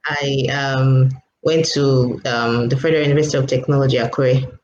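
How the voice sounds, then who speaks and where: Guest, woman from Lagos, chatty and halting, roomy call audio with a soft hiss. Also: a bass voice, steady tone, highs cut off. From Lagos State, Nigeria. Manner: hesitant, many fillers and laughs. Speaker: guest, author and writing coach. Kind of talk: casual interview chat. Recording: echoey room, faint background noise.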